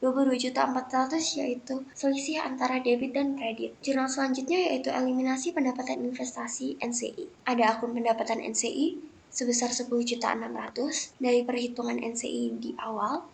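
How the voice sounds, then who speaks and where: A woman's voice: sits high, steady tone, fairly quick, vocal fry. A young woman presenting a scripted lesson step by step in a methodical, recited way, like a student presenter. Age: young adult. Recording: noticeable background noise.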